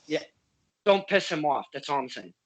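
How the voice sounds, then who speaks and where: A man's voice: on the high side, animated, moderate pace, a bit bright. A man from Connecticut hosting a casual interview podcast, boisterous and playful, swearing freely. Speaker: podcast host.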